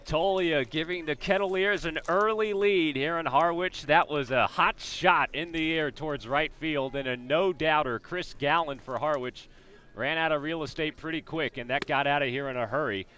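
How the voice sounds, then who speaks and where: A man with an American accent gives live radio play-by-play of a baseball game. His voice sits a bit high and has a bright, forceful edge. He describes the action pitch by pitch, filling in detail on the players and the field. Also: normal speed, clear, resonant tone.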